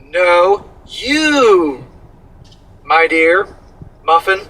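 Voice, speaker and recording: Bright-voiced blunt man, slightly off-mic. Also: sits high, big pitch swings, extremely slow, far from the mic, poor audio. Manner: blunt, profane, scolding. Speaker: commentary co-host reading an article. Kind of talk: casual reaction commentary.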